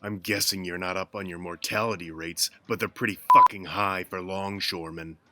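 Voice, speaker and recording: An actor playing a sardonic mob boss of the docks in a 1940s radio parody, taunting, wisecracking and menacing. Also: male voice, sits low, steady tone, normal speed, very noisy, close to an everyday voice.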